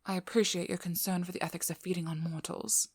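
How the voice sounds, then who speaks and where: A shrewd female vampire hunter, acted by a woman in an intimate ASMR role-play. Her low voice is gravelly with fry and often drops to a breathy half-whisper. She is blunt, probing and dry, recorded very close with no room sound. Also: steady tone, fast talker, dry recording. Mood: hushed, measured. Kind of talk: ASMR character role-play, speaking softly to the listener.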